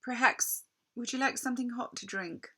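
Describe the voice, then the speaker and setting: A woman voicing a coaxing, imperious witch queen who feigns kindness in drawn-out, sugary phrases for a storybook. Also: fairly high pitch, a bit bright, husky, pitched above the performer's own voice. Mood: coaxing, falsely kind.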